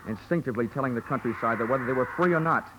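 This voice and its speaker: An actor playing a wry, wisecracking American insurance investigator who narrates a 1940s hardboiled radio drama. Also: male voice, medium pitch, very fast, background noise, lightly acted. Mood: wry narration. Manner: dry wit, wisecracking. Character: wry, tough male insurance investigator hero. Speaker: lead and narrator of detective show. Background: music.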